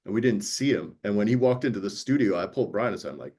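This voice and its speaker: A man's voice: on the low side, lively intonation, fast talker, darkish tone, slightly rough. A man from New York, an audiobook producer, chatting casually and telling stories by acting out bits of dialogue. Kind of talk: casual panel conversation.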